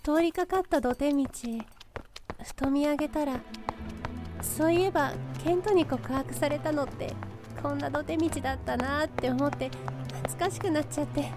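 An actress playing a spirited, caring and bossy college-age ghost girl in a Japanese voice drama, with an expressive, emphatic delivery. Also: sits high, moderate pace, music in the background. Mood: wistful. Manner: emphatic, expressive, pushy yet tender. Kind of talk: Japanese audio drama acting.

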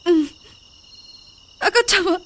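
A woman playing a devoted young mother with emotional, expressive delivery in a Japanese amateur voice drama. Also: extremely high pitch, slow pace, slight background noise. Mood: anxious. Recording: music behind the voice.

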